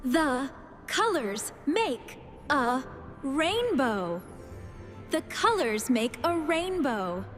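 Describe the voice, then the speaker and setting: A woman voicing a gentle female family character in a beginner English fairy tale, enunciating carefully and deliberately. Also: high-pitched, big pitch swings, soft background music, full character voice. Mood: earnest. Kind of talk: slow scripted English lesson story dialogue.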